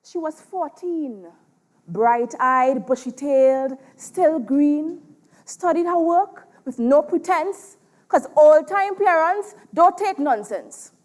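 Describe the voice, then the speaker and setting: A Trinidadian woman delivers spoken word poetry with a theatrical, emphatic style. Her voice sits very high and moves widely in pitch. She takes her time, leaning on dramatic pauses. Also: expressive, a little mellow. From Trinidad and Tobago. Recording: live stage performance.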